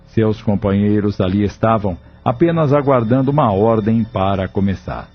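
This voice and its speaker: A man narrating a spiritist audio novel in a measured, solemn storytelling manner. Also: lowish voice, husky, dull audio, soft background music.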